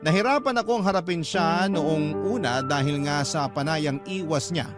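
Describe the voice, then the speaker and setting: A man's voice: fairly high pitch, moderate pace. A man with a Filipino accent narrating a scripted first-person story for radio drama. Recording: prominent music bed under narration.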